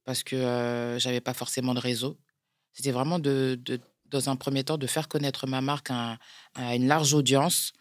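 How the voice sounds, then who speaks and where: A woman with a French accent, a brand founder answering interview questions in a measured way, pausing often to find her words. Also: rumbling low, little pitch movement, no echo. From France. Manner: measured, explains step by step with frequent hesitations. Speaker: guest, clothing brand founder. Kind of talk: interview conversation.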